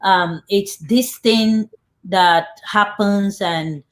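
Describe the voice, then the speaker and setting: A woman's voice: medium pitch, extremely slow. A woman hosting a live online talk show, chatty and upbeat, with fillers and small restarts. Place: home studio in Canada.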